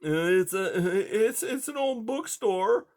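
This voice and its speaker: A woman's voice: medium pitch, expressive, takes it slowly, darkish tone, pitched above the performer's own voice. A woman voicing a small, terrified male mouse in a children's story, stammering and whimpering as he pleads. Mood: frightened, halting.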